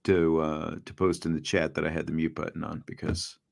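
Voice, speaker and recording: A middle-aged man hosting a casual sports talk show over a video call, joking and animated. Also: rumbling low, fairly quick, vocal fry. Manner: joking, animated, gestures while talking. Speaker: show host. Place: home studio with framed pictures. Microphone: large studio mic with headphones, video call.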